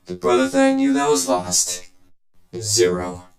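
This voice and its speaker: An actor voicing a scheming, vengeful game villain who tells his story in a deliberate, condescending, smug way. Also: very high voice, huge pitch swings, creaky, a little mellow, echoey room, soft background music, exaggerated voice. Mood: brooding, scornful. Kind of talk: dramatic video game cutscene monologue.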